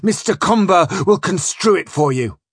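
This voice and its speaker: A man's voice: mid-range voice, animated, normal speed, no room sound, studio quality, lightly acted. A man voicing a pompous, scholarly, bad-tempered ship's captain in an audiobook story, pedantic and declamatory. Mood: raging, bawling. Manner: pedantic, declamatory, quoting Latin.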